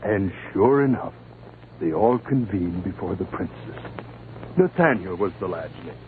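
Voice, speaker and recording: A man's voice: low-pitched, expressive, a little slow. A man narrating a scripted story in 1950 radio style, with a storyteller's dramatic delivery. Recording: tinny, noisy 1950 radio broadcast.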